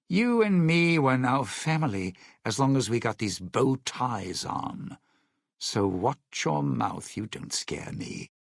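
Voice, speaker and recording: An actor playing a smug, suspicious old lounge singer and thief in a video game, with a raspy, gravelly voice and a sardonic showman's delivery. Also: mid-range voice, no echo, studio quality, heavily acted. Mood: defiant, cutting. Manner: sardonic, slangy showman's patter. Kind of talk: in-game character dialogue and epilogue narration.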